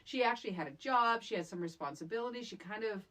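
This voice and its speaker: A woman's voice: mid-range voice, animated, fast talker, a bit bright. A woman co-hosting a podcast, casually discussing a film in an emphatic, exasperated way, repeating points for effect. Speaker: podcast co-host.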